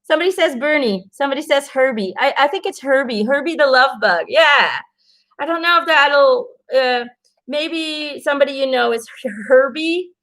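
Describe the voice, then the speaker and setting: A woman's voice: sits high, expressive, moderate pace, fairly bright tone. A Belgian woman whose first language is Dutch, doing a casual, earnest card reading for viewers and encouraging them with plenty of fillers and restarts. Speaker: intuitive card reader.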